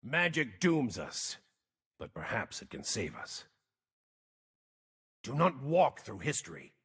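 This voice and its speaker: An actor playing a gruff, brooding wandering mage in a video game, world-weary and terse, with a raspy, gravelly voice. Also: male voice, medium pitch, big pitch swings, slow pace, a bit bright, in a large hall, full character voice. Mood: weary, grim. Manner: terse, grim, theatrical delivery. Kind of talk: video game character voice lines.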